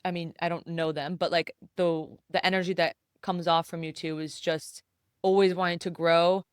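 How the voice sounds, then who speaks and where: A young woman hosting a podcast, talking in a loose, rambling way full of "like" and "um" and the odd casual swear. Her voice is smooth and clear, recorded close and dry with no room sound. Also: on the low side, little pitch movement, moderate pace, no echo. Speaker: podcast host.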